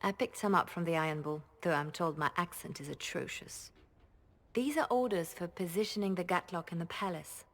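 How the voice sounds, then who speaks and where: A poised, cunning spymaster. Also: female voice, on the low side, brisk, a bit bright. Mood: composed, calculating. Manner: composed, discreet, calculating. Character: poised, cunning female spymaster and trained bard. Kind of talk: video game voice acting.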